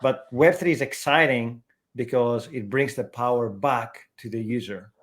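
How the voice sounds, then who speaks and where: A man chats animatedly as a guest on a developer livestream. He is middle-aged, and his talk comes in lively bursts full of restarts. His pitch sits in an ordinary middle range for a man. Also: unhurried. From Greece. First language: Greek. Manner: animated, chatty, with frequent restarts. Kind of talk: casual chat on a livestream.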